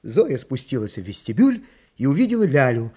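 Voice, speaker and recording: A husky-voiced man narrating a children's radio play as its storyteller. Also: medium pitch, very animated, moderate pace, dark tone, natural voice.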